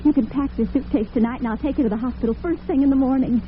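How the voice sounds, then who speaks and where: A woman's voice: high-pitched, quick pace, natural voice. Tinny old-radio nervous young daughter, played by a woman. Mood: eager, reassuring. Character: devoted, nervous young working daughter, evasive and defensive. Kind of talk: old-time radio drama acting. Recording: tinny, noisy vintage radio broadcast.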